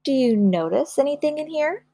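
A woman plays a gentle storybook mom who soothes and reassures her child. Her affectionate voice is fairly high, with a dry, close sound. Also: animated, moderate pace, slightly rough, dry recording. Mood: reassuring, upbeat. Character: gentle, reassuring mother of a young girl.

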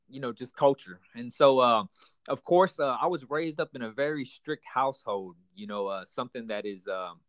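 A man's voice: medium pitch, fairly bright tone, no room sound. Earnest man sharing his story, dry sound. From United States, raised in Houston, Texas. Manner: earnest, halting with many fillers and restarts. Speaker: guest. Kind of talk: personal testimony in an interview.

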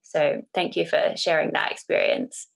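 Low creaky-voiced New woman. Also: wildly swooping pitch, moderate pace. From New Zealand. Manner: thoughtful, hesitant with fillers. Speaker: interviewer. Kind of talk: casual interview chat.

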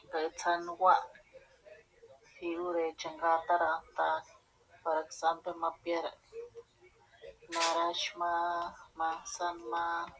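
Slow, husky woman. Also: lowish voice, low-quality recording. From Michoacán, Mexico. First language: Purepecha. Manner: halting, mixing two languages. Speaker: interviewee, mother. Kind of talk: casual interview answers.

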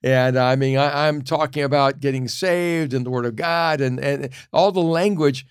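A middle-aged man speaks in an earnest, reflective storytelling manner as a pastor and communication teacher. His voice sits at an ordinary pitch for a man. The sound is close and dry, with almost no room around it. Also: normal speed, smooth, no room sound. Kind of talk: casual podcast conversation.

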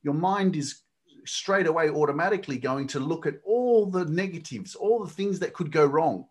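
A man's voice: on the high side, expressive, normal speed, slightly rough. Man talking over motivational ideas. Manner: reflective, motivational, with fillers. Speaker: podcast host. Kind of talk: casual interview chat.

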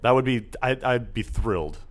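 This young man hosts a podcast in a loose, chatty way, joking around and dropping into silly voices. His pitch rises and falls a lot as he talks, and his level sits in the middle of the usual range. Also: animated, normal speed. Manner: jokey, slips into silly put-on voices. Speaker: podcast host. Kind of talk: casual chat.

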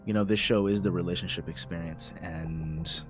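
Deep-voiced dude in his 30s rambling on his podcast, swears a lot, some soft music under it. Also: fairly even intonation, moderate pace. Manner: rambling, candid, profane, with frequent filler. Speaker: podcast host. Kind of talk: casual solo podcast monologue.

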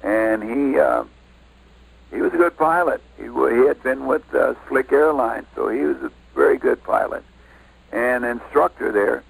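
An older man with an American accent casually reminiscing as an interview guest, halting and repeating himself. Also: medium pitch, gravelly, breathy edge, low-quality recording.

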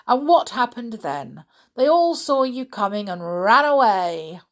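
A woman voicing a scornful, sharp-tongued smaller crocodile who scolds and mocks. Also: on the high side, very animated, unhurried, pitched above the performer's own voice. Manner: scolding, mocking. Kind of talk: storybook read-aloud.

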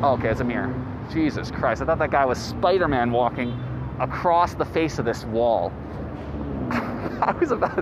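A man's voice: on the high side, highs cut off. A man in his late twenties hosting a podcast, rambling casually and profanely through stories and notes. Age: late 20s. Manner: rambling, profane, animated storyteller. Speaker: podcast host. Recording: loud street traffic noise.

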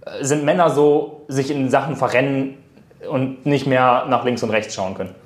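A man's voice: medium pitch, normal speed. A man who works as a coach, speaking in casual podcast conversation, explaining his views carefully and correcting wording. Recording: echoey room.

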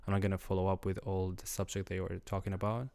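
A young man from Syria, his voice sitting on the lower side and full of gravelly fry. He tells his story in a relaxed chat as a guest, often pausing to find the right English word and breaking into laughter. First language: Arabic. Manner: halting, searching for words, laughs often.

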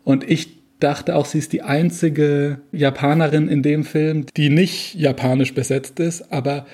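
A man talking casually and enthusiastically about a film in a relaxed discussion. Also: a little high, measured pace, slightly rough. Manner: enthusiastic, conversational, loose phrasing.

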